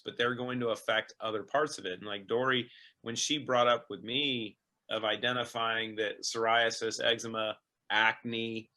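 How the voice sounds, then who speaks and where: Bright-voiced male host explaining earnestly. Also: medium pitch. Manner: earnest, explanatory, filler-heavy with "we can say". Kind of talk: interview questions and commentary.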